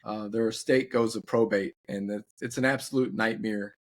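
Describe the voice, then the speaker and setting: A man of forty giving advice in a casual podcast discussion, plain-spoken and measured with some halting phrasing. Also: fairly low pitch, steady tone.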